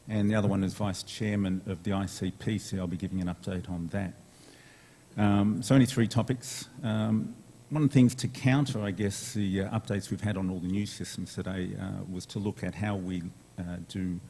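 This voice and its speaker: A man from Sydney with an Australian accent, giving a relaxed conference presentation full of fillers. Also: fairly low pitch. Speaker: conference speaker.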